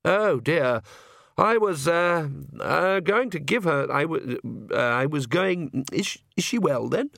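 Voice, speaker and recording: A man's voice: a little high, lively intonation, takes it slowly, vocal fry, a bit bright, no echo, studio quality, pitched above the performer's own voice, exaggerated voice. A man voicing a nervous, awkward young priest who stammers and talks with hesitant politeness. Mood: stammering.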